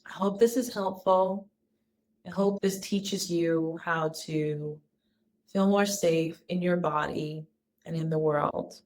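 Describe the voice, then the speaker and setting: A woman talking to camera in a casual personal vlog, calm and earnest, with a soft voice she calls calming. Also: on the low side, slow, a little hiss or hum, low-quality recording. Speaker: wellness vlogger.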